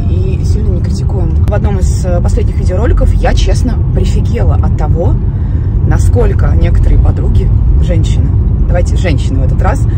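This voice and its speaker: A woman in her thirties whose first language is Latvian, chatting in a casual vlog from her car with many filler sounds and pauses. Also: mid-range voice, very noisy. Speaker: vlogger. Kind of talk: casual vlog talk to camera. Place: inside a car, then a clothing store. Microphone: phone camera held by hand.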